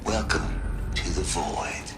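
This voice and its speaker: A man's voice: takes it slowly, background noise, natural voice. An actor playing a laid-back scientist in a video game, darkly amused and wry as he gives a casual briefing. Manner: wry, casual, savoring his words.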